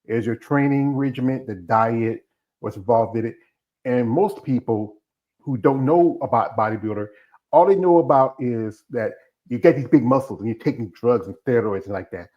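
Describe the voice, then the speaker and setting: A man's voice: medium pitch, normal speed. A man hosts a casual interview, asking long, rambling questions with plenty of enthusiasm. His voice sits in an ordinary middle range, and the audio is slightly dull and muffled. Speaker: interview host.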